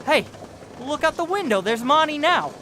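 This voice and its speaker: A man playing a nervous, excitable young gangster henchman in a superhero audio drama. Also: extremely high pitch, normal speed. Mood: nervous, alarmed. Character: jittery young male gangster henchman with a gun. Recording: loud ambient noise under the voice.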